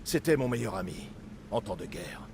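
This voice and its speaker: An actor playing a grieving, betrayed warrior in a video game. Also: male voice, fairly low pitch, big pitch swings, moderate pace, background noise. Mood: bitter, sorrowful. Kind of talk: dramatic video game acting, French dub.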